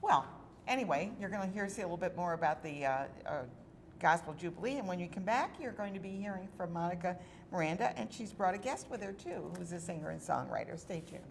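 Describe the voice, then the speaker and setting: A woman's voice: on the low side, lively intonation, fast talker, gravelly, slight background noise. A woman hosting a local TV talk show, chatting casually with her guests and using many fillers. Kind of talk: casual interview chat.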